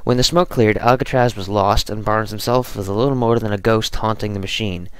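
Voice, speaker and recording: A man hosting a gaming video, reading scripted narration or casually commenting, with a creaky, smooth voice. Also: on the low side, fairly even intonation, brisk.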